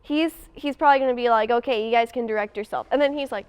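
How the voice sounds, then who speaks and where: A young woman chats in an interview, telling a story in casual youthful slang. Her voice is fairly high and very clean. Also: fast talker, smooth. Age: young adult. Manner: animated quoting, youthful slang. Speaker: student actor.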